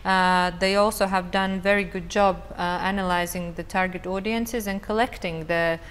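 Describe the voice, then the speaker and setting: A woman on a conference panel, speaking thoughtfully with frequent pauses and fillers. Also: fairly low pitch, fairly even intonation, a bit bright. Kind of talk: panel discussion. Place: conference hall. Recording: reverberant hall with faint noise.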